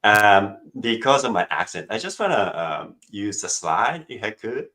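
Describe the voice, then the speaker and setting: A man's voice: on the low side, big pitch swings, measured pace, bright, forceful tone. A middle-aged man from Japan with a Japanese accent, chatting as a guest over a video call, self-joking and hesitant with fillers. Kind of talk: casual interview chat.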